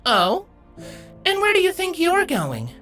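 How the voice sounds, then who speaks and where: A man plays a motherly pony queen from a storybook, pitched high with lively intonation. The character is caring and gently teasing, and music plays softly behind. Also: a little slow, full character voice. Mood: curious, teasing.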